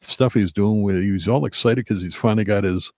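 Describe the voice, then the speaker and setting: A man with a lowish, creaky voice chats on a podcast panel. He speaks in a halting, conversational way, recorded close and dry. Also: a little mellow, dry recording. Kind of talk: casual recollection in conversation.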